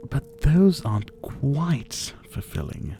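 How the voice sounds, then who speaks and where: A man playing a suave, possessive vampire who was once a doctor, speaking to the listener in a theatrical, softly menacing ASMR roleplay. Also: medium pitch, very animated, slow, soft background music. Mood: musing, hungry. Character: older male vampire captor, former doctor, suave, possessive.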